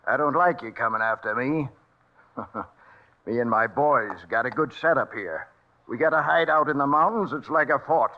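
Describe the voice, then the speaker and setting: A man's voice: mid-range voice, normal speed, natural voice. An American actor playing a smug, menacing outlaw gang leader who taunts his way through an old radio western. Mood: smug threatening. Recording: muffled old radio broadcast recording.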